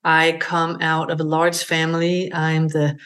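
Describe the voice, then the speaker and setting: A middle-aged woman tells her story as a podcast guest. Her voice sits a little low, and she talks in a gentle, reflective way, pausing as she looks for the right word. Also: monotone. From Denmark. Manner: reflective, gentle, searching for words. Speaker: guest, beauty brand founder. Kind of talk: interview conversation.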